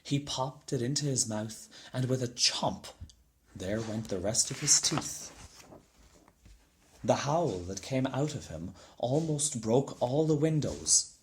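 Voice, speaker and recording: A man reading a children's storybook aloud in an expressive narrating style. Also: mid-range voice, takes it slowly, slight background noise. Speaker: storybook reader.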